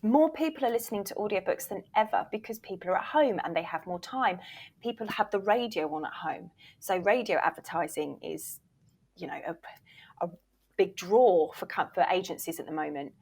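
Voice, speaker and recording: A woman's voice: medium pitch, moderate pace, a little mellow, breathy edge. A woman giving candid, emphatic answers as a guest in a conversational interview. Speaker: podcast guest, voiceover reel producer and former agent.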